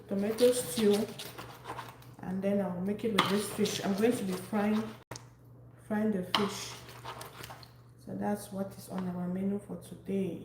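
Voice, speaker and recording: A woman from Cameroon, hosting a casual cooking live stream and chatting warmly with viewers by name as she cooks in her kitchen. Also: medium pitch. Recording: echoey room, faint background noise.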